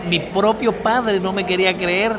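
A man's voice: high voice, fairly even intonation, measured pace, over music. A middle-aged man, a singer-songwriter being interviewed, telling stories casually with slang and frequent laughter. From Mexico.

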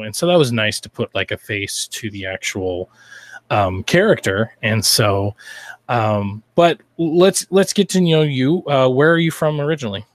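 A man's voice: mid-range voice, no echo. An American man from Idaho hosting a podcast in a rambling, informal, chatty way. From Idaho, United States. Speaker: podcast host. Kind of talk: casual chat.

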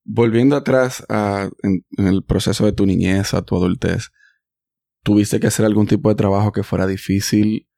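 A man from the Dominican Republic hosting a podcast interview, calmly affirming his guest and finishing her thoughts. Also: on the low side, moderate pace, gravelly, no room sound, clean studio sound. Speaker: podcast host. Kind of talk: casual interview conversation.

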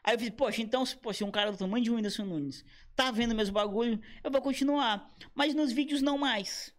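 A man in his thirties, a comedian and motion designer, chatting casually in a slangy, animated way with quoted asides. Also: extremely high pitch, brisk. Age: 30s. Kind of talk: casual podcast conversation.

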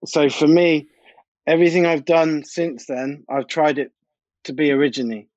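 A man's voice: on the high side, little pitch movement, normal speed, a little mellow, no echo, lo-fi sound. A man, a DJ and producer, chatting casually in an interview and repeating himself for emphasis. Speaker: DJ and music producer being interviewed. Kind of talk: casual interview chat, anecdotal.

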